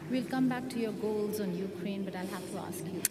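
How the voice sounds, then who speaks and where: A woman's voice: mid-range voice, moderate pace, crowd noise. Woman moderator in a reverberant hall. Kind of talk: moderating a panel discussion.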